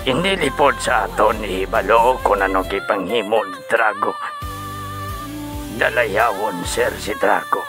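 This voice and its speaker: A man's voice: lowish voice, a little slow, close to an everyday voice. A man playing a respectful, grieving subordinate reporting to his superior in an audio drama. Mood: sorrowful, admiring. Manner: respectful, sorrowful. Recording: music under the voice.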